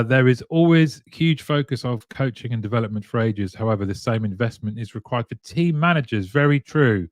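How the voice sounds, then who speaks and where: A man's voice: mid-range voice, dry recording. A man from the UK hosting a casual livestream, reading viewer comments aloud and laughing often.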